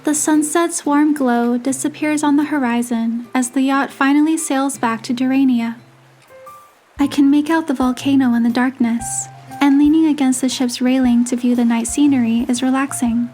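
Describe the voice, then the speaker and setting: A young heroine narrating a romance game story, voiced by a woman. Her voice is fairly high, reading scripted first-person narration over soft music. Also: fairly even intonation, normal speed, natural voice. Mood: gentle storytelling.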